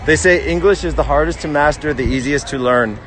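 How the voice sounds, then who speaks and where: A man's voice: fairly high pitch, brisk, a bit bright, a little hiss or hum, rough recording. A man hosting a casual, teasing chat, prompting with question after question. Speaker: host. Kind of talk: casual chat with his wife and viewers.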